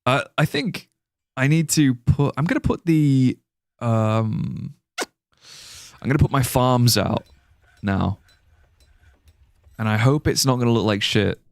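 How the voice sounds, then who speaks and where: A man's voice: mid-range voice, expressive, slow, gravelly, long pauses, dry recording. A young British man chatting casually while streaming a game, rambling and restarting his sentences. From born in Singapore, raised British. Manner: rambling, restarts sentences, laughs at chat jokes. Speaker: livestreaming gamer. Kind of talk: casual chat while playing a game and reading chat.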